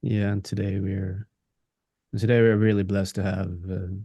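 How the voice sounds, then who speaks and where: A man's voice: sits low, little pitch movement, unhurried, mellow, dry recording. A man hosting a podcast, chatting casually and putting questions to his guest. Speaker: podcast host.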